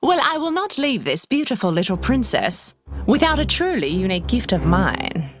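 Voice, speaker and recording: A woman's voice: medium pitch, very animated, moderate pace, over loud music, lightly acted. A woman voicing an evil, menacing fairy who is gloating and offended, speaking in a theatrical, declaiming manner for a children's fairy tale.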